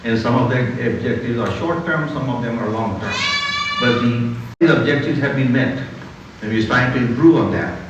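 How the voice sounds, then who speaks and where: Older man, non-native English, slow deliberate address, distant dull echoey hall recording. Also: medium pitch, noisy background. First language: not English. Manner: slow, deliberate, frequent "you know" and "uh". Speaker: community speaker. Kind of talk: community address to a congregation.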